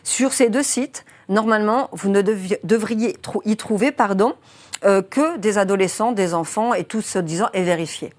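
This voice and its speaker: A young woman journalist in a studio interview walks through a grim case step by step. She speaks earnestly and weighs her words with care, with plenty of small fillers along the way. Her voice sits at an ordinary pitch for a woman. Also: moderate pace. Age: 20s. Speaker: journalist guest. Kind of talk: interview explaining a criminal case.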